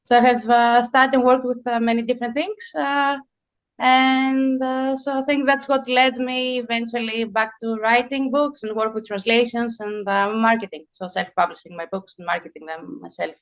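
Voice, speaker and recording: High-voiced Greek woman in her forties. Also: steady tone, normal speed, lo-fi sound. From Greece. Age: 40s. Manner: thoughtful, frequent hesitations. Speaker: guest author. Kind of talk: casual interview chat.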